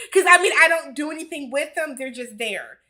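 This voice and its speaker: Bright-voiced chatty woman host. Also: high voice, lively intonation, moderate pace. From Milwaukee, Wisconsin. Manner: chatty, laughs often, reads viewer comments aloud. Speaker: YouTube host. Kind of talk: casual livestream Q&A chat.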